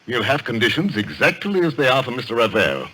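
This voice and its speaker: An actor playing a blunt, sceptical solicitor in an old radio thriller, brusque, dry and challenging. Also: male voice, medium pitch, wildly swooping pitch, brisk, a bit bright, slightly rough, full character voice, low-quality recording. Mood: commanding. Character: blunt, sceptical solicitor, stubborn plain common-sense man.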